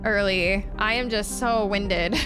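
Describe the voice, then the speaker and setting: A woman streamer chats casually with her viewers, her voice winded and going slightly hoarse after a long stretch of reading aloud. She talks in loose, repetitive bursts full of ums, at a middle pitch, with music playing underneath. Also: slow. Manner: chatty, many ums and repeated words. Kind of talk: casual stream sign-off chat.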